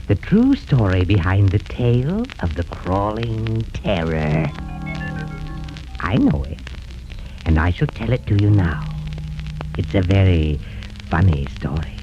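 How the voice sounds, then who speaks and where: An old, muffled radio recording carries a sinister horror storyteller with a low voice. He swings dramatically up and down in pitch, drawing out his words with gleeful, macabre relish in classic 1930s thriller style. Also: full character voice. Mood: gloating, sinister. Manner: theatrical, drawn-out dramatic delivery with macabre relish.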